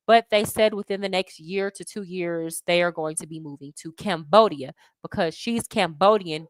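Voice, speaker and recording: Young American woman, livestream host, slangy and animated, mid-pitched, dry close sound. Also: no echo. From United States. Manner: chatty and slangy, animated asides. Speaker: livestream host answering chat. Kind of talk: casual livestream chat. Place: home abroad.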